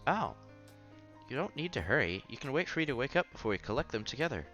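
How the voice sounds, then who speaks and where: A man's voice: mid-range voice, big pitch swings, quick pace, sharp tone, highs cut off. A man hosting a casual read-aloud playthrough of a visual novel, reading narration and dialogue with occasional stumbles and side comments. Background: background music.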